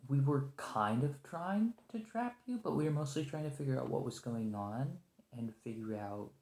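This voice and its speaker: A man playing a nervous, conciliatory special agent held captive, speaking hesitantly and softly in an ASMR roleplay with an echoing lo-fi sound. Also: medium pitch, close to an everyday voice. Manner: hesitant, halting, stammering, soft-spoken. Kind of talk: ASMR roleplay, one-sided point-of-view dialogue. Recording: roomy lo-fi echo.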